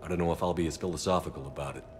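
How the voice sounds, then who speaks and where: A loyal military commander from a video game, over faint music. His voice is extremely low and quick, plain-spoken and terse. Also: fairly even intonation, darkish tone. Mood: subdued, supportive. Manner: informal, terse. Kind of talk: video game cutscene acting.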